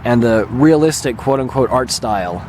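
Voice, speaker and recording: A man with a mildly hoarse, slightly raspy voice, talking casually and hesitantly as he rambles through a vlog as its host. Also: mid-range voice, normal speed, darkish tone, a little hiss or hum. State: mildly hoarse, recovering throat. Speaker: video channel host and gamer. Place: open lakeshore beach outdoors. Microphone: handheld camera held by the speaker.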